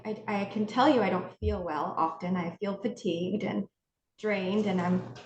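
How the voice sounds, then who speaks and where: A woman's voice: medium pitch, moderate pace, a little mellow, muffled recording. A woman from Hawaii, a podcast guest telling her story in a chatty way, acting out what others told her and often restarting her sentences. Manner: chatty, acts out remembered remarks, frequent restarts. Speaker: podcast guest, integrative health practitioner. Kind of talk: casual storytelling interview. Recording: roomy echo, faint noise.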